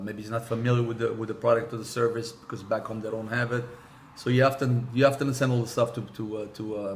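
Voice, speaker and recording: Hesitant Israeli-born man with a gravelly voice. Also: lowish voice, a little hiss or hum. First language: Hebrew. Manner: hesitant, many restarts and fillers. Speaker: car sales manager. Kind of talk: interview answers.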